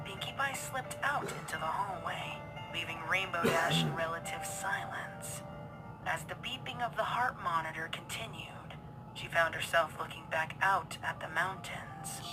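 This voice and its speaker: A woman's voice: lowish voice, a little slow, low-quality recording. A woman reading scripted narration for a dramatic story. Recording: loud music bed behind voice. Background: music.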